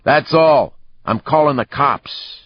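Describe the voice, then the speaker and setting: A man voicing an angry, alarmed bartender yelling at brawlers in an audiobook. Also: medium pitch, slow pace, dry recording, muffled recording, voice pushed up. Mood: alarmed anger.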